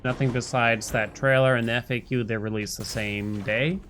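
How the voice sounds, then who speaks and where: A man's voice: medium pitch, fairly even intonation, moderate pace, a little hiss or hum. A man chatting casually with viewers as he livestreams a game, rambling and playful, breaking into nonsense sounds. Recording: loud game music behind voice. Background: video game music.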